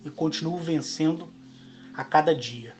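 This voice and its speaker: A Brazilian man in his forties, speaking in a slow, emphatic monologue broken into short phrases. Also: on the high side, darkish tone, faint music behind. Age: 40s. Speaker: channel host. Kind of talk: monologue to camera.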